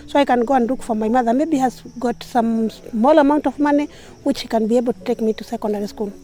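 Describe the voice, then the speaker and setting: A young woman from Kenya, recounting her own life in a halting way with frequent restarts. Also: on the high side, mellow. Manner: halting, with restarts and self-corrections. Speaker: interviewee. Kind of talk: personal testimony. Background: background music.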